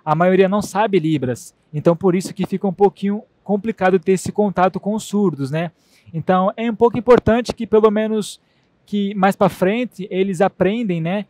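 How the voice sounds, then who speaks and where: A man with a slightly high voice interprets for a deaf speaker, speaking her words in the first person. He sounds relaxed and playful as he talks on a conference stage. The sound is close and dry. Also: moderate pace, no echo. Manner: relaxed, playful, laughs easily. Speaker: sign language interpreter.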